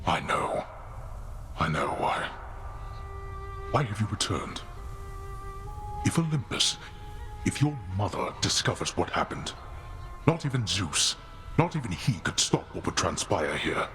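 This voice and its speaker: Deep, grave underworld god-king. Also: big pitch swings, unhurried, long pauses, a bit bright, faint music behind, heavily acted. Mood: remorseful, grave, then alarmed, scornful. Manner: formal, grave, imperious diction. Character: stern, weary, grim god-king of the underworld, older father. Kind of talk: dramatic video game voice acting.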